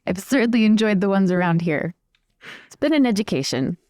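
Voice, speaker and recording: A woman's voice: mid-range voice, animated, a little fast, a little mellow, no room sound, studio quality, natural voice. A woman playing a curious, chatty young well-bred woman, talking informally and asking questions in improvised roleplay over music. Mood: friendly interest. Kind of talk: improvised in-character roleplay dialogue.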